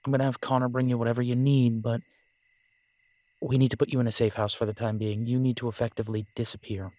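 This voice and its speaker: Man playing calm, measured werewolf leader, dry sound. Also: medium pitch, no room sound, lightly acted. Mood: reassuring, planning. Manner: measured, reassuring, matter-of-fact. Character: calm, determined male werewolf pack leader, pragmatic protector. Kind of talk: audio roleplay acting.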